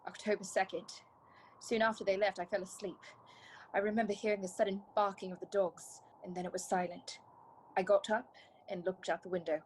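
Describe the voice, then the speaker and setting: An actress playing a haunted young wife under a vampire's spell in a radio play, measured and trance-like. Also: female voice, mid-range voice, little pitch movement, a little hiss or hum, lightly acted, low-quality recording. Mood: uneasy, eerie.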